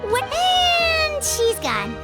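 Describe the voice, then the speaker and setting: A woman voicing a tiny, childlike fairy companion in a video game, curious and eager, with a squeaky voice. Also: huge pitch swings, drawn-out words, loud music behind the voice, full character voice. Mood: concerned, curious.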